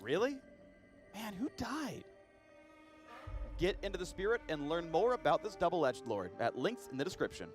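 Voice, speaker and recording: A man narrates a scripted game guide in a slightly high, highly animated voice. His delivery is punchy and playful, and music plays faintly underneath. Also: normal speed, long pauses. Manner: playful, pun-laden delivery.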